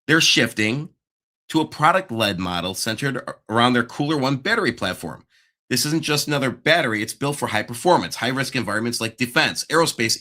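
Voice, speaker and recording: Guy going over stock charts on a stream, bright edgy voice, rambling and talking to the chat. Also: mid-range voice. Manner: chatty, self-interrupting, checks the live chat. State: losing his voice. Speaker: stock market show host. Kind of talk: casual stock analysis livestream.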